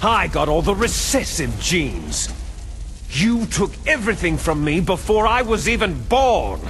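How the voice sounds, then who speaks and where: A bitter soldier villain from a video game, delivering grandiose monologues in a fairly high male voice that swoops widely. He is menacing and taunting, drawing out his words with theatrical relish. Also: big pitch swings, unhurried, a bit bright, soft background music, exaggerated voice. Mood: bitter, resentful. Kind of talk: dramatic video game cutscene acting.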